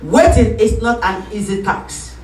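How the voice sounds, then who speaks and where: A woman pastor preaching a sermon, calling for responses and rising into shouts. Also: medium pitch, lively intonation, unhurried, recorded from a distance, a little hiss or hum. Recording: echoey room, voice from off-mic.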